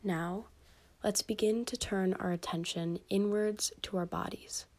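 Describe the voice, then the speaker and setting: A woman leading a scripted guided meditation for sleep, speaking gently and soothingly with pauses between instructions. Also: mid-range voice, steady tone.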